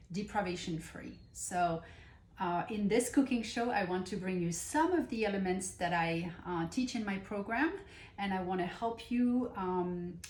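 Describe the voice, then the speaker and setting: A woman from France with a French accent hosts a cooking demonstration, talking with unhurried enthusiasm and many pauses and fillers. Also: medium pitch, darkish tone. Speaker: cooking show host and nutrition coach. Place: kitchen. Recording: echoey kitchen room.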